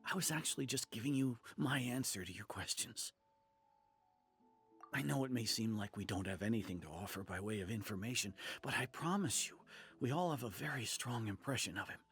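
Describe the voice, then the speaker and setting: An actor playing a weary, sincere village merchant in a video game, hesitant and earnest, with a gravelly voice. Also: male voice, lowish voice, brisk, a bit bright, heavily acted. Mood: flustered, apologetic. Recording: music under the voice. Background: game music.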